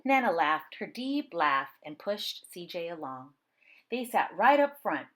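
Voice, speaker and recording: Lower-voiced woman, very animated storybook reading. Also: a little slow. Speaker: storybook reader. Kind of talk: expressive children's read-aloud narration.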